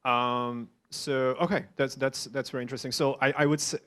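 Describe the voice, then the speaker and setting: A man's voice: mid-range voice, steady tone, brisk, fairly bright tone. A man moderating an online Q&A session, speaking hesitantly with many ums and uhs. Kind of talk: hosting an online Q&A.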